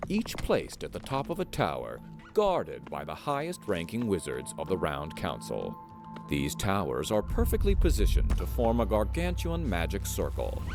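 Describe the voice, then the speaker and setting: Man playing a deep, gravelly, solemn fantasy documentary narrator. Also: full character voice. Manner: solemn, deadpan. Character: grave male true-crime narrator in a fantasy world.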